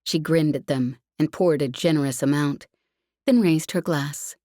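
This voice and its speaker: A woman's voice: sits low, measured pace, dark tone, dry recording. A woman reading scripted fiction as an audiobook narrator, recorded close. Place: dry, close recording space.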